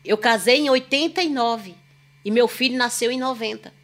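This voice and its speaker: A woman's voice: fairly high pitch, moderate pace, fairly bright tone. A woman, a singer and podcast guest telling long, rambling stories in a chatty, self-deprecating way. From Northeast Brazil. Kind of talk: casual storytelling chat.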